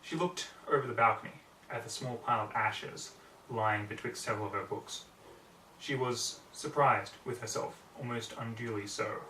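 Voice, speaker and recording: A man's voice: medium pitch, moderate pace, bright, forceful tone. A man reading scripted fiction aloud as an amateur audiobook narrator. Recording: roomy, distant mic, faint background noise.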